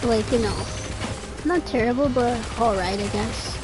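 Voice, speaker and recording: A woman's voice: fairly high pitch, a little slow. A woman in her twenties giving casual gameplay commentary, rambling and restarting her sentences, her throat dry and a little scratchy. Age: 20s. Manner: rambling, trails off and restarts often. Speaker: gaming streamer. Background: game music.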